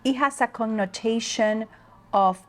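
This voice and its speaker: Woman from the dominican republic, native spanish speaker, fairly high voice, unhurried and reflective. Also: a bit bright. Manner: slow, thoughtful, pausing with fillers. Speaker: guest speaker on leadership. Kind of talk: interview conversation.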